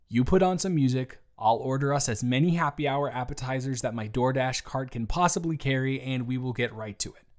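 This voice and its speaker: A man's voice: mid-range voice, a little mellow, close to an everyday voice. A man playing a fond, chatty office boss in his early thirties in a romantic audio roleplay, speaking earnestly and playfully straight to the listener. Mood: upbeat, playful. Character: warm, earnest thirty-three-year-old male office boss, fond and encouraging. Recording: background music. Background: music.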